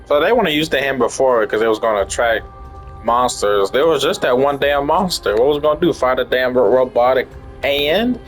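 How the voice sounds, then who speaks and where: A man's voice: medium pitch, animated, brisk, soft background music. A man commenting casually on a game as he plays, in a slangy, salty way with swearing. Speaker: video game player.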